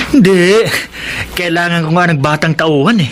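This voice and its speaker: An animated, smooth-talking street thief. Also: male voice, high-pitched, unhurried, a little hiss or hum. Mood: coaxing. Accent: Filipino. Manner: persuasive, easygoing. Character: coaxing, friendly older-brother male thief recruiting children. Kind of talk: radio drama acting.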